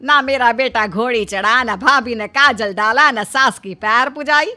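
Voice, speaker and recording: Woman playing high, flustered cartoon saas-bahu. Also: a little slow, sharp tone. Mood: nosy, scolding. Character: flustered, nosy, frightened Indian mother-in-law and elder daughter-in-law. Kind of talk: Hindi cartoon story voice acting.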